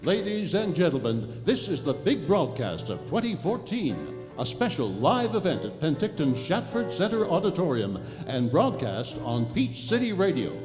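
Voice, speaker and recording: A man's voice: a little high, sing-song, normal speed. A man playing a grand old-time radio announcer, in an echoey hall with music behind him.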